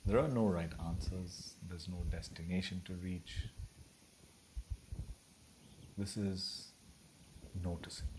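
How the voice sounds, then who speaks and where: A man leading a guided meditation in a gentle, soothing, unhurried way with long pauses between phrases. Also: low-pitched, steady tone, a little hiss or hum, low-quality recording.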